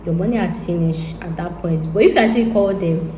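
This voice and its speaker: A woman's voice: fairly low pitch, fairly quick, warm tone. Nigerian woman vlogger, thinks aloud and rambles, slightly roomy sound with faint hiss. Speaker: YouTuber. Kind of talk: casual monologue to viewers. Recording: echoey room with faint ambient noise.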